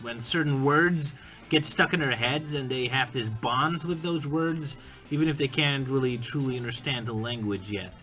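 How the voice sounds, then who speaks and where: Bright-voiced man musing casually. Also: medium pitch. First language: Dutch. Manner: musing, conversational, bursts into playful mock singing. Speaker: video essay host. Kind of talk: casual spoken commentary.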